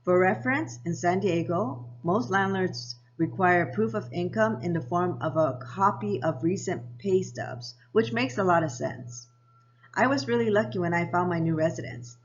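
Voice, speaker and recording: A woman of around 60, raised in Japan and Hawaii, narrating her own life story for a vlog in a measured, read-aloud way. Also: lowish voice, highs cut off, slight background noise. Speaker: vlogger. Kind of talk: scripted personal narration.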